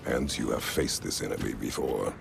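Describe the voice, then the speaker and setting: A gravelly, very deep stern warrior. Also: male voice, music in the background, full character voice. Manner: terse, solemn, measured. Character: stern, battle-worn middle-aged warrior father, a gruff general. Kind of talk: cinematic video game acting.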